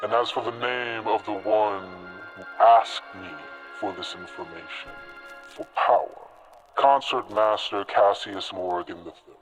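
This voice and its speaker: An ancient, commanding spirit of smoke, acted by a man, speaks low and formally with ominous patience. Faint music runs beneath this fantasy role-play performance as it weighs out its bargains. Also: unhurried, close to an everyday voice, low-quality recording. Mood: solemn, businesslike. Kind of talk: dramatic role-play acting.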